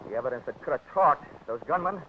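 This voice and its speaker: A man's voice: fairly high pitch, expressive, fast talker, slight background noise, natural voice. A man playing a wisecracking, hard-boiled insurance investigator who narrates a 1940s radio drama in a dry, sardonic way. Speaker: narrator and lead. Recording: tinny old radio broadcast with music score.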